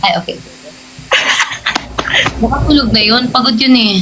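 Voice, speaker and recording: A woman's voice: a little high, normal speed, noisy background. A woman with a Filipino accent chatting casually as co-host of a live stream, mixing Tagalog and English.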